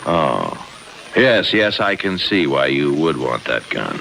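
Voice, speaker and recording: Gravelly, very low crime boss. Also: male voice, big pitch swings, bright, forceful tone, heavily acted. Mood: coolly evasive. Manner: formal, measured, coolly condescending. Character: smooth-talking, menacing male gambling club boss. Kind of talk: 1950s radio crime drama acting. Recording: faintly noisy old radio recording.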